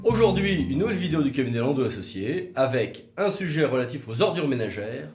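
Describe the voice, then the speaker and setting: A man with a mid-pitched voice co-presents a legal explainer in a roomy, echoing space. He talks conversationally, putting questions to himself and pausing to search for his words. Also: sing-song, a little mellow, soft background music. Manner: conversational, hesitant pauses, rhetorical questions. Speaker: legal commentator for a law firm, co-presenter. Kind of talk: explanatory legal video, semi-scripted two-hander.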